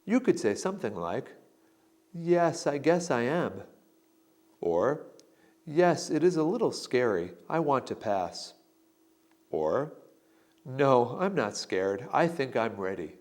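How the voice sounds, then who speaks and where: A man narrates a language lesson at a slow, careful pace. His pitch moves a lot, lifting on each model answer he reads out with clear emphasis. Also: sing-song, darkish tone. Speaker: instructor giving sample answers. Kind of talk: scripted instructional narration.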